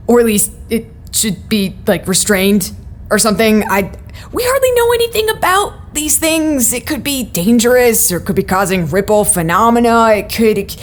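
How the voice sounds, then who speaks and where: An actress playing a worried, cautious but amused facility officer, talking haltingly and naturally in an audio drama. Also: female voice, fairly high pitch, big pitch swings. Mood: worried, unsure. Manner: hesitant, halting, conversational.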